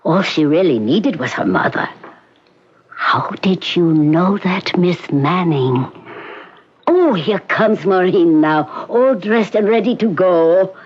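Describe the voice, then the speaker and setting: An actress playing a doctor, speaking in a formal, measured, clipped way. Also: female voice, lowish voice, expressive, a little mellow, breathy edge, highs cut off, full character voice.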